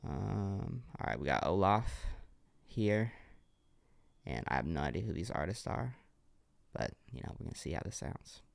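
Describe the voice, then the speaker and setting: Deep, gravelly man, a bit congested. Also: very animated, moderate pace, dry recording. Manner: laid-back, encouraging feedback with many "like"s. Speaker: livestream host reviewing submitted music. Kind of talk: casual livestream commentary.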